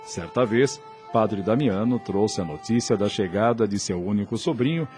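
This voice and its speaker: A man's voice: fairly low pitch, expressive, moderate pace, darkish tone, soft background music. A man with a Brazilian accent giving scripted dramatic narration as the storyteller of an audio drama.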